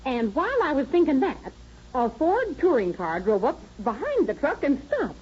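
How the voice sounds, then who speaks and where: An actress playing a garrulous, flattered, homely American shopkeeper who talks in flustered colloquial style in an old radio drama. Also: female voice, high voice, moderate pace. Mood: earnest recounting. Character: chatty, folksy, flattered small-eatery proprietress. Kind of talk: 1930s radio acting. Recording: muffled, noisy vintage radio recording.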